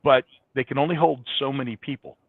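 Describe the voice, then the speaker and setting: A man's voice: mid-range voice, fairly even intonation, a little fast, breathy edge, no room sound. A man giving conversational, explanatory answers as a guest in a business interview. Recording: dull, band-limited, dry sound.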